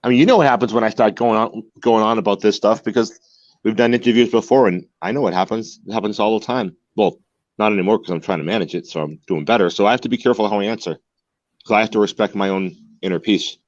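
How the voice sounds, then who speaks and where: A man's voice: on the low side, fast, dry recording, dull audio. Man in his 40s telling his story, earnest, keeps repeating words, dry sound. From United States. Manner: earnest, repeats words while searching for them. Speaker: guest. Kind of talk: candid interview conversation. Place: home in Mexico.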